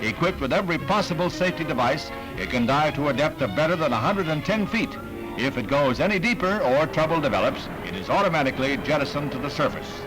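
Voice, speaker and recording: A man's voice: a little high, lively intonation, fast, low-quality recording. An American man reading scripted 1960s newsreel narration in a punchy, wry announcer's delivery. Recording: 1960s newsreel soundtrack, music behind.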